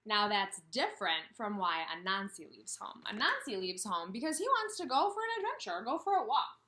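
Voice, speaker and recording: A woman in her thirties teaching and reading aloud to kindergarteners, expressive, with questions and emphatic pauses. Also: medium pitch. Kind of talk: instructional read-aloud for young children. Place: indoors, room with a brick wall.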